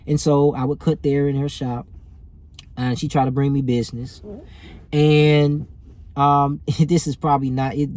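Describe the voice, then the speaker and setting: A man's voice: medium pitch, mellow. A man, telling a personal story casually and animatedly, as if to viewers. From rural Alabama, US. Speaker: vlogger telling personal stories.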